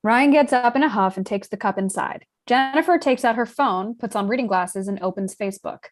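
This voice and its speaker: A woman's voice: on the high side, fairly quick. A woman narrator reading scripted stage directions in a plain narrating style.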